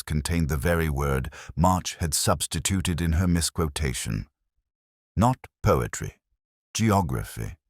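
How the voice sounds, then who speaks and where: Casting: male actor as brooding older detective, extremely low voice, expressive swings in pitch, measured literary narration for a radio mystery. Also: no room sound, studio quality, full character voice. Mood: wry, intent. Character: introspective older male Oxford police detective narrating his notebook. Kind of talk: scripted first-person mystery narration, audio drama.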